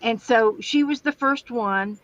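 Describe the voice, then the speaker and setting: A chatty woman with a West Virginian accent, rambling through her stories as a livestream guest. Her voice sits a bit high. The sound is dry and dull, with no room echo and a flat top end. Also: measured pace. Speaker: guest crafter and streamer. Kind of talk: casual livestream interview chat. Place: home craft room. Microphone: livestream camera setup.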